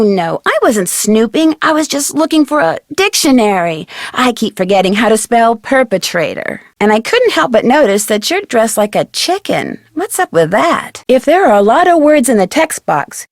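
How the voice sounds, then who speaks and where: Perky young female sleuth for a video game: high, very animated, articulate, inquisitive and polite. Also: moderate pace, few pauses, no echo, studio quality. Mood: mock innocent, then cheerful, encouraging. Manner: chirpy, articulate, friendly instructing delivery. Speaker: game protagonist and tutorial guide. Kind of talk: scripted video game voice acting.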